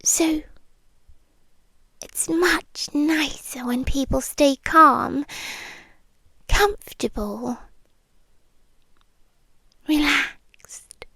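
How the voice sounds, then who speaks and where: A British woman playing a sweetly menacing, possessive vampire girlfriend in an ASMR roleplay, speaking in coaxing, breathy whispers with pauses, close to the microphone. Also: high-pitched, sharp tone, exaggerated voice. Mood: soothing, menacing. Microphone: close microphone, dry.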